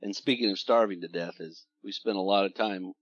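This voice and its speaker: A man's voice: sits low, fairly even intonation, a little fast, no room sound, muffled recording. A man interviewing a guest in an easygoing, conversational way. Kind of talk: casual interview questions.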